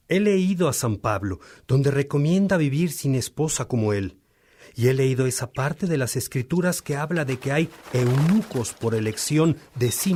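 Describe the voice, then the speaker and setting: A man's voice: mid-range voice, a little mellow, a little hiss or hum, natural voice. A man acting a devout, earnest churchman in a religious radio drama, speaking with solemn, reflective, formal phrasing.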